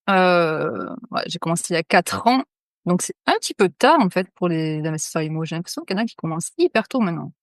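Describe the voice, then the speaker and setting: A woman's voice: lowish voice, no room sound, clean studio sound. Woman in her thirties, light Marseille accent. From Marseille, France. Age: 30s. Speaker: podcast guest, property investor. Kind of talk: casual interview talk.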